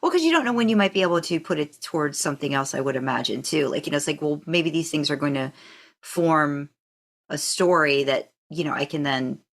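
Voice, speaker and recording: A woman's voice: deep voice, a little fast. A woman co-hosting a podcast in casual conversation, a supportive listener with a self-deprecating way of talking. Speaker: podcast co-host, interviewer.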